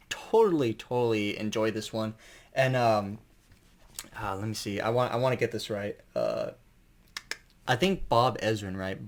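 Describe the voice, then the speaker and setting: A man giving a casual, unscripted album review as an enthusiastic fan, rambling and colloquial, with some mild swearing. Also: on the low side.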